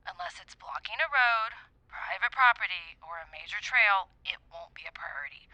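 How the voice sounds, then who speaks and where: A woman's voice: mid-range voice, lively intonation, normal speed, pushed and bright, no echo, rough recording. A woman playing a businesslike, noncommittal public works clerk in an audio drama, heard through a telephone filter. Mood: noncommittal, weary.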